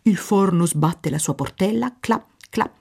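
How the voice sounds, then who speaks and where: A woman voicing a fussy, creaky female kitchen window in a children's storybook, talking dramatically and complaining. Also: medium pitch, unhurried, darkish tone.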